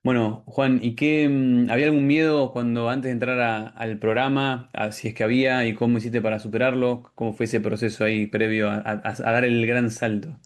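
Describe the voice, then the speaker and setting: A man with a Rioplatense Argentine accent hosts a conversational interview. His voice sits at an ordinary male pitch. He words his questions at length, rephrasing them as he goes. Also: little pitch movement, normal speed, hardly any pauses. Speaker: interviewer, coach. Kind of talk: conversational client testimonial interview.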